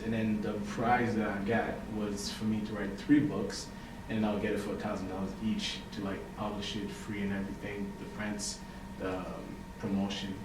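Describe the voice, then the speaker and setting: A young man from Haiti, a poet and writer, talking casually as an interview guest, relaxed and full of fillers. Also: lowish voice. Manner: relaxed, chatty, many fillers and "you know". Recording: echoey room, mic far off, noisy.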